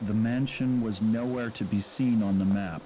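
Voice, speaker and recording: A man's voice: fairly low pitch, steady tone, normal speed, narrow, tinny audio. An actor playing a male explorer recording a scripted audio log on an old, noisy cassette tape in a horror game. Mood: somber. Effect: old cassette tape filter. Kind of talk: scripted game voice acting, dated log entry.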